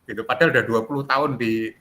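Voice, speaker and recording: A man's voice: mid-range voice, steady tone, quick pace, sharp tone. A man with a Javanese accent, facilitating an online class on a video call, chatty and joking with a chuckle. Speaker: course facilitator.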